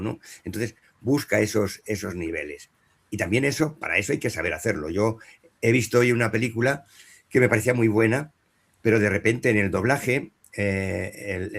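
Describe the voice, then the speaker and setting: Man, middle-aged, lowish pitch, halting, anecdotal, panel talk. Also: a bit bright. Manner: anecdotal, many fillers and restarts. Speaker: dubbing director. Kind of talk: panel talk at a professional meeting.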